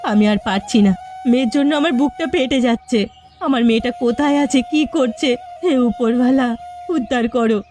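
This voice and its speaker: A woman's voice: fairly high pitch, moderate pace, warm tone, soft background music, close to an everyday voice. A woman playing an anxious, protective mother of a grown daughter in a cartoon drama, speaking in a firm, urgent, pleading way. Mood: distraught.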